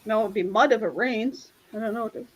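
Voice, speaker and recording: A woman's voice: fairly high pitch, brisk, slightly rough, low-quality recording. A woman telling rambling everyday stories over a video call, laughing often as she talks. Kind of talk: casual group chat.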